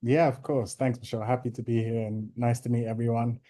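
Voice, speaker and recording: Calm, low-key man on a video call. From Ghana. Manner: measured, thoughtful, with frequent ums. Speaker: interview guest.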